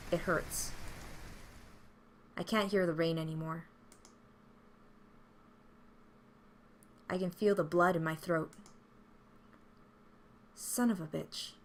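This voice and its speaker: A woman's voice: on the low side, a little hiss or hum, lightly acted. A woman playing a frightened, desperate young hero in a visual novel, narrating in an emotional, melodramatic way. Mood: weak, fading. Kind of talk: dramatic first-person visual novel narration.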